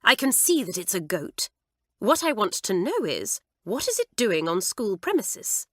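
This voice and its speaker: A woman's voice: mid-range voice, sing-song, a little slow, dry recording, studio quality. A woman narrator voicing a cool, authoritative schoolgirl speaking sharply and disapprovingly. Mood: stern.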